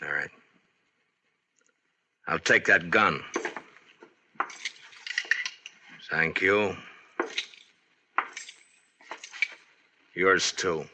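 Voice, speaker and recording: An American actor playing a tough, blunt, commanding Old West marshal in a 1950s-style radio western. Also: male voice, low-pitched, takes it slowly. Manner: blunt, challenging, authoritative. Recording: old radio recording with background hiss.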